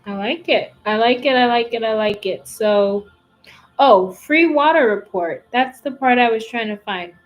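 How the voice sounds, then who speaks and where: A woman's voice: fairly high pitch, unhurried, lo-fi sound. A woman hosting a casual livestream, chatting and reading viewer comments in a rambling way with many fillers. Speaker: livestream host.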